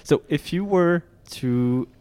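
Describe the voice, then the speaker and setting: A man's voice: on the high side, lively intonation, slow pace, a little mellow, clean tone. A man from France with a French accent, hosting a casual interview and asking long, winding questions full of restarts. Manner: friendly, rambling questions with restarts. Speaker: podcast host.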